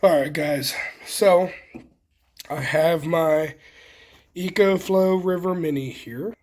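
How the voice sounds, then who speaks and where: A man with a folksy, unhurried way of thinking aloud as he hosts a casual hands-on DIY demonstration. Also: on the high side, a little mellow. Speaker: host of a homesteading DIY vlog.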